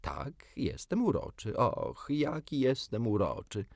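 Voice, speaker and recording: A man's voice: mid-range voice, sing-song, slow, vocal fry, a little mellow, dry recording, clean studio sound, voice pushed up, exaggerated voice. A man voicing a cocky, carefree magical boy from a storybook, boastful and exclaiming. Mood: smug delight.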